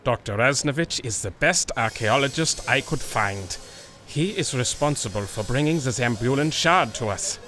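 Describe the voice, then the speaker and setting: An actor playing a proud, confident German baron and war officer, suave and commanding with a German accent in a radio drama. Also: male voice, mid-range voice, lively intonation, normal speed, sharp tone. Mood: courteous, commanding. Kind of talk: radio adventure drama acting.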